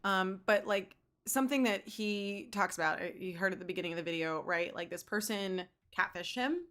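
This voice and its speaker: Bright, forceful woman explaining casually. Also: mid-range voice, moderate pace. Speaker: teacher commenting on a video for students.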